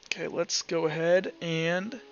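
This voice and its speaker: A man running a tabletop roleplaying game as game master, casually narrating and thinking aloud in a halting way. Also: fairly high pitch, level tone, highs cut off, faint music behind.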